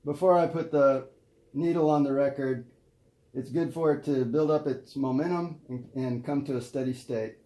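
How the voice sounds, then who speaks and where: Mellow man explaining in an echoey room. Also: mid-range voice, fairly even intonation, normal speed. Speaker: presenter demonstrating an antique gramophone. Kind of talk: hands-on demonstration, unscripted explanation. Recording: roomy echo, faint noise and clicks.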